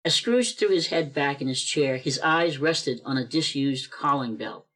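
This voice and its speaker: A man's voice: mid-range voice, lively intonation, normal speed, a bit bright. A man narrating a church radio play over a video call, reading expressively and building suspense.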